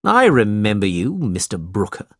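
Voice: deep voice, very animated, normal speed, no echo, clean studio sound, full character voice. A narrator voicing a wicked, hard-hearted rich uncle in a storybook, stern and emphatic. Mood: cold, angry.

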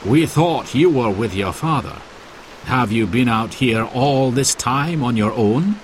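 A man's voice: mid-range voice, lively intonation, a little slow, slight background noise, close to an everyday voice. A man voicing a worried adult from the hostel in a storybook reading. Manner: worried, questioning.

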